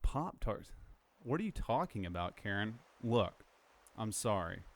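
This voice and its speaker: A man's voice: fairly low pitch, very animated, a little slow. A man playing a bewildered, casual young helper in an audio drama, skeptical in manner. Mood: doubtful.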